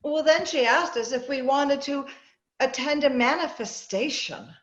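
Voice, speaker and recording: Muffled and roomy, a woman acting as a high-pitched middle-aged suburban wife in an old-time radio play. She is bossy and credulous, telling her story in an insistent, chatty way. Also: measured pace, fairly bright tone. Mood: confiding, chatty. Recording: muffled, roomy video-call audio.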